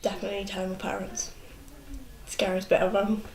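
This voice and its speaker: A woman's voice: mid-range voice, completely flat delivery, brisk, a little mellow. A 20-year-old woman talking candidly in a documentary interview, in an informal, plain-spoken way. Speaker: young mother being interviewed. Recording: echoey room with faint background noise.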